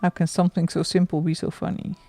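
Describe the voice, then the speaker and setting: A woman's voice: fairly low pitch, level tone, fast, mellow, faint music behind. A middle-aged woman from the Netherlands with a Dutch accent, chatting casually and laughing often while she colours on a livestream. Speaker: livestream host.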